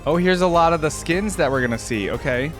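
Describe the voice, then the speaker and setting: A man's voice: fairly high pitch, animated, a little fast, soft background music. A man giving enthusiastic, informal reaction commentary as the host of a fan channel.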